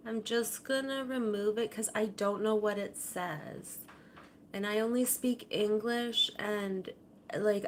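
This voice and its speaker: A woman hosting a casual livestream from her home kitchen, talking softly and rambling, her sentences often trailing off quietly. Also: mid-range voice, slight background noise. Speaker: livestream host. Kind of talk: casual chat to viewers. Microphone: camera on a tripod.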